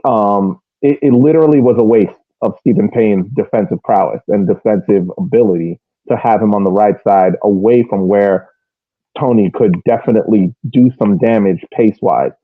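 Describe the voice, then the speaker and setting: A man's voice: lowish voice, moderate pace, dry recording. A man co-hosting a podcast, giving casual, detailed match analysis, often restarting and repeating phrases as he builds his point. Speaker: podcast co-host. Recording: thin phone-like band-limited audio.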